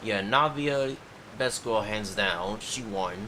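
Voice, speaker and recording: A man's voice: on the low side, takes it slowly, pushed and bright, a little hiss or hum, poor audio. A man giving laid-back, casual reactions as he livestreams a video game.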